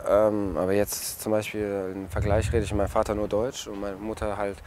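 Low-voiced young man, relaxed interview. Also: a little fast, slight background noise. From Germany. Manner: relaxed, many fillers and restarts. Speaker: interviewee, footballer. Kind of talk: casual interview answers. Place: outdoors at a stadium and a café terrace.

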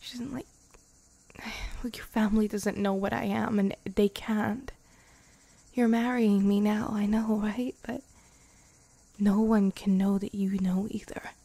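A woman voicing a centuries-old vampire girlfriend, wistful and protective, in soft, intimate ASMR roleplay that drifts into whispers. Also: mid-range voice, level tone, no room sound. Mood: anxious, protective. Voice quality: soft, breathy whispery moments. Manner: slow, hesitant, sighing between phrases.

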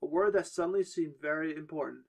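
A man's voice: fairly high pitch, quick pace, vocal fry. A man reading a first-person horror story aloud on a podcast, stumbling now and then and correcting himself. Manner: reads on with stumbles and self-corrections.

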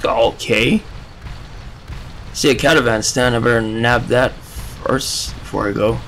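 A man's voice: mid-range voice, fairly even intonation, measured pace, fairly bright tone, slight background noise. A man hosting a let's play, giving casual gameplay commentary and reading game text aloud.

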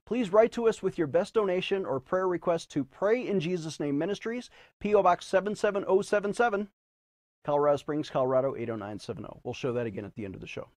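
A man's voice: high-pitched, quick pace, darkish tone, dry recording. An American man in his fifties hosting a religious program, presenting and preaching in an emphatic, theatrical way. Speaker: host and preacher of a religious news show. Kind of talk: presenting viewer letters with preaching commentary. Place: TV studio. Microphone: lapel mic.

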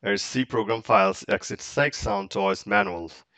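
A man explaining software step by step in casual, halting, English. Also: on the low side, dry recording. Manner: halting, step-by-step, non-native English phrasing. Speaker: tutorial presenter. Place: close, dry indoor voice-over for a screen recording.

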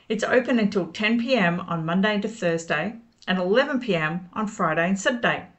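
A middle-aged woman narrating a travel vlog voice-over, calm and informative, giving tips and recommendations. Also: mid-range voice. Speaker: travel vlogger. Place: outdoors at a riverside city park.

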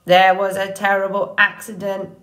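A woman's voice: mid-range voice, monotone, a bit bright, natural voice. A woman playing a friendly female robot in an audiobook story, speaking with formal, earnest politeness. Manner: friendly, measured, formal without contractions.

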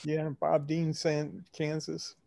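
A man's voice: fairly high pitch, completely flat delivery, slow pace, gravelly, dark tone, no echo. A man chatting casually and jokingly in an informal way. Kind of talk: casual chat.

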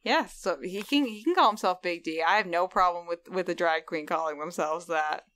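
A woman co-hosting a book-club podcast, chatty and quick to laugh. Her voice is smooth and clear. She is recorded close to the mic in a dry room. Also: on the low side, fairly bright tone, no echo. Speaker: podcast co-host. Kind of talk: casual book-club chat.

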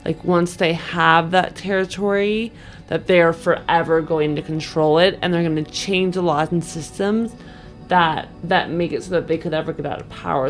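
A young woman with a fairly low voice talks casually over background music. She is informal and emphatic, building her thoughts in loose pieces as a player discussing a tabletop story. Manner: informal, emphatic, frequent filler "like". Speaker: podcast panelist and tabletop game player. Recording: music playing behind the voice.

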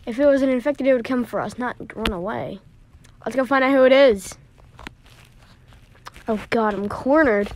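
A woman doing amateur voice acting for an animated story, with dramatic, drawn-out line readings. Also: on the high side, a little hiss or hum.

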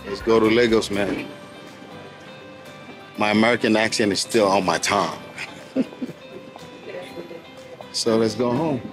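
A man vlogging casually as he makes his way through a busy airport, talking in a relaxed, chatty way to a handheld camera. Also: lowish voice, a little slow, long pauses, over music. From Nigeria. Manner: relaxed, chatty, laughs at himself. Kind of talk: casual vlog narration. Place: busy airport terminal and airport train. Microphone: handheld camera at arm's length.

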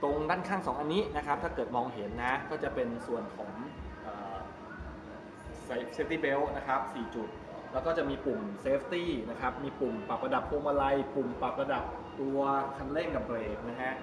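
A man's voice: medium pitch, normal speed. A middle-aged man, chatting casually and explaining things to a camera as he gives a walk-through tour. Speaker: vlogger giving a tour. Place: large open-sided go-kart pit hall. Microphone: 360 camera at arm's length. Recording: roomy echo, loud ambient noise.

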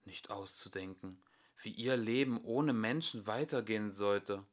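A young man narrating a children's audiobook in an expressive, theatrical way, addressing his listeners directly. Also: on the low side, measured pace. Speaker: amateur audiobook reader.

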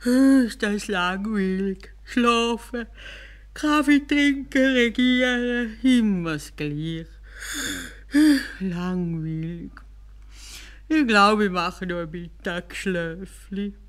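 A pampered, excitable storybook caliph acted out by a woman with a Swiss German accent. He speaks expressively, full of sighs and exclamations. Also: medium pitch, drawn-out words, pitched above the performer's own voice. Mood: bored, languid. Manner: drawling and sighing, or gushing with exclamations. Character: bored, excitable, pleasure-loving male caliph.

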